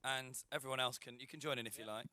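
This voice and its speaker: A man's voice: medium pitch, fairly even intonation, fast talker, sharp tone, no echo, studio quality. A man with a nasal voice, a guest at a live event, joking and bantering. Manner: jokey, bantering.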